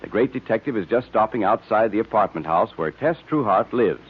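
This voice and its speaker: A man announces in scripted 1940s radio style. His delivery is animated, emphatic and salesmanlike, with a husky tone on a tinny, narrow recording. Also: fairly low pitch, fairly quick.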